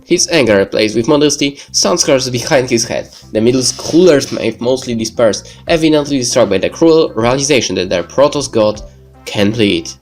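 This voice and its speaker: A man's voice: medium pitch, lively intonation, moderate pace, faint music behind. A man reading visual novel narration aloud as a let's player. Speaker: video game let's player.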